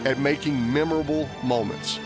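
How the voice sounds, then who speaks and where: A man's voice: medium pitch, steady tone, normal speed. A man reading scripted documentary narration in a polished, tongue-in-cheek way. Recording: music bed under narration.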